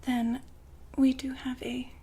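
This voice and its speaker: A woman playing the poised, businesslike madam of a futuristic establishment in an ASMR roleplay, whispering softly and formally to a client. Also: high voice, little pitch movement, exaggerated voice. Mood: smooth, attentive. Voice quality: soft, breathy whisper. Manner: polite, formal, unhurried saleswoman patter.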